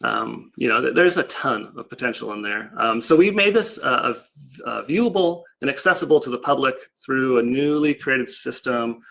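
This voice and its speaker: A man's voice: medium pitch, animated, normal speed, gravelly, fairly bright tone. A man giving an informal, loosely improvised online slide presentation in a casual, explanatory way. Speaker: project presenter.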